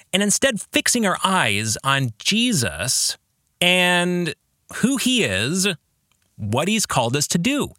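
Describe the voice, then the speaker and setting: A man hosting a reflective solo podcast, speaking in deliberate, emphatic phrases. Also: fairly high pitch, sharp tone, no room sound, studio quality. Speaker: podcast host and author.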